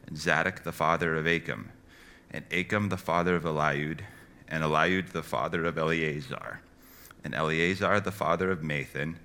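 A man reading scripture aloud in a measured way, phrase by phrase. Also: extremely low pitch, fairly even intonation, sharp tone. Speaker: scripture reader.

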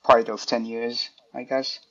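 A man's voice: medium pitch, flat delivery, a little slow. Hesitant man on dull call audio. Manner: hesitant, many fillers and restarts. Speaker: guest, design director. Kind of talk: interview answers.

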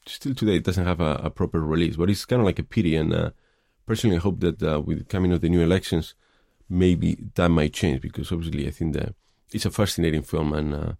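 A man's voice: low voice, quick pace, creaky, few pauses, mellow, no echo, studio quality. A middle-aged man from Spain with a Spanish accent, answering interview questions thoughtfully, with many hesitations and fillers. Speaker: film director.

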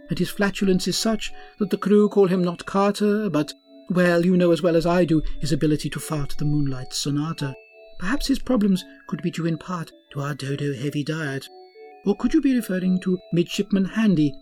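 A man's voice: fairly high pitch, darkish tone, close to an everyday voice. A man playing a grand, pompous starship captain who speaks in courtly rhymes. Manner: pompous, rhyming, courtly speech.

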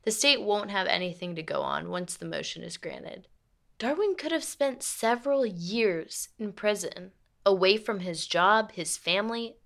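A woman's voice: medium pitch, gravelly, fairly bright tone. An actress playing a frank, principled lawyer and girlfriend in a radio play, speaking in a natural, articulate, matter-of-fact way.

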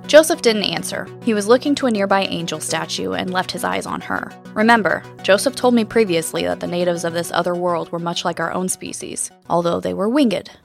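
An actress playing a wistful supernatural radio host in a scripted first-person audio drama. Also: female voice, lowish voice, a little fast, creaky, hardly any pauses, faint music behind. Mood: reflective. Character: wistful woman supernatural radio host telling her story. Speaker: radio show host and narrator.

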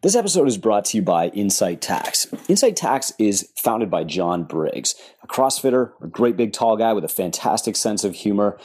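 An enthusiastic man reads a sponsor spot, leaning hard on his points. His voice is low and a little husky. His pitch swings widely as he talks. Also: big pitch swings, normal speed, hardly any pauses, a little mellow, soft background music. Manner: enthusiastic, emphatic. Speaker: podcast regular reading the sponsor message. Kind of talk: sponsor read.

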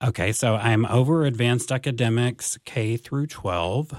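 A man, talking slowly as a podcast guest, with many ums and restarts. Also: mid-range voice, no echo, studio quality. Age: 40s. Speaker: district advanced academics coordinator.

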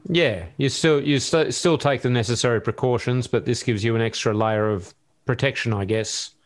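A man's voice: mid-range voice, normal speed. A man hosting a podcast interview, asking casual questions and giving short encouraging replies. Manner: relaxed, gives brief encouraging acknowledgments. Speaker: podcast host.

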